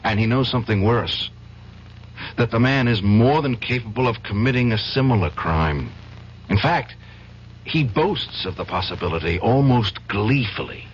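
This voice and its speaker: An actor playing a troubled, indignant younger defense lawyer in an old-time radio drama, speaking in a halting, intense, agitated way. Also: male voice, fairly low pitch, animated, lightly acted. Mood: bitter. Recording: dull, faintly noisy old radio recording.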